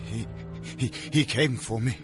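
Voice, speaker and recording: Guy doing a shaky stammering young man, totally broken, slow halting words, creepy music under. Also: medium pitch, lightly acted. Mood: terrified. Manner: stammering, panicked. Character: terrified young man, his mind broken. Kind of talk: radio drama acting.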